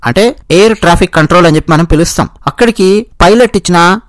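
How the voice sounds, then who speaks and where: A man's voice: sits high, quick pace, no room sound. A man with a Telugu accent giving explanatory news commentary, restating and rephrasing his points as he goes.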